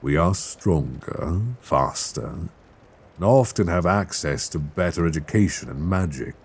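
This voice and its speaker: A man playing an ancient dragon king in an intimate ASMR roleplay, tender and wry, with courtly, teasing phrasing and a husky voice. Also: low-pitched, lively intonation, a little slow, exaggerated voice. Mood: musing, teasing. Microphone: close binaural microphone.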